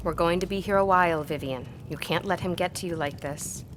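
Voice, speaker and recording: An actress playing a composed, guarded historian in a gothic period audio drama, measured and earnest in her delivery. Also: lowish voice, noisy background, lightly acted. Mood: soothing, guarded. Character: composed, guarded female historian, quietly apologetic.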